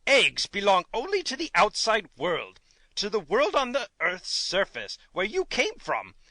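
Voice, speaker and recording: Storybook gnome king, acted by a woman: small blustering male monarch, sharp forceful tone, pitch leaping wildly, indignant and theatrical. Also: wildly swooping pitch, measured pace, no echo, heavily acted. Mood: alarmed, blustering.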